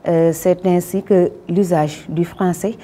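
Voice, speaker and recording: A Senegalese woman being interviewed as a guest, speaking French in a measured, formal way with frequent hesitations; her first language is Wolof. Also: on the low side, steady tone, mellow. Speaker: guest, publisher and Wolof teacher. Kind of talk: interview answers.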